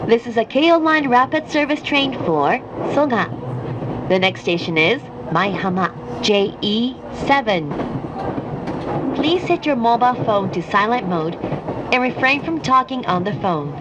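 Measured woman's voice announcing train stops. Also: animated. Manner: formal, carefully enunciated. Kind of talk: scripted in-car station announcement. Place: inside a train car, played over speakers. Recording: muffled, noisy recording inside a moving train. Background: train rumble and noise.

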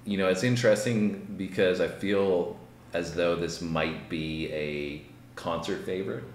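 A man's voice: sits low, fairly even intonation, a little slow. A man in his thirties co-hosting a music reaction, musing thoughtfully with lots of "you know". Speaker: reaction channel co-host. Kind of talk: casual music reaction chat. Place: home room, seated in gaming chair. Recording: roomy echo, faint hum.

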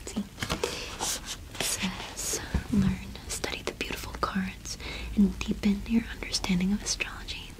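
A young woman whispers her way through an ASMR roleplay as a shopkeeper. Her delivery is slow and gentle, with little rise or fall in pitch and frequent pauses. She speaks close to the microphone. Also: little pitch movement, low-quality recording. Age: young adult. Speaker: ASMR performer playing a cottage witch shopkeeper.

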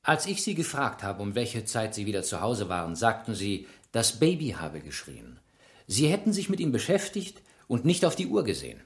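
A man's voice: lowish voice, sing-song, normal speed. An actor playing a shrewd, relentless French police inspector in a German radio crime drama, ironic and insistent as he questions. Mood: insistent, methodical. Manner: probing, ironic, insistent interrogator.

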